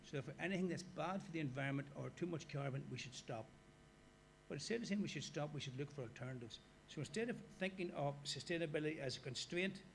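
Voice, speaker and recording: A man's voice: mid-range voice, slight background noise. A man with an Irish accent giving a conversational workshop lecture and putting questions to the audience. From Ireland. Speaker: guest lecturer. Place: lecture hall.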